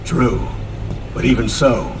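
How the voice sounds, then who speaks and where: An older colonel, with a middle-pitched, commanding voice. He gives sober, measured briefings in video game style. The sound is distant with long reverb and clear noise. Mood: sober, authoritative. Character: authoritative older male colonel giving sober mission briefings.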